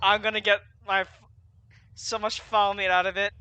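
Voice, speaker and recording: A woman's voice: medium pitch, flat delivery, normal speed, heavy background noise. A woman giving casual, wry gameplay commentary with reactive asides. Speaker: game streamer. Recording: loud game music under the voice. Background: video game music.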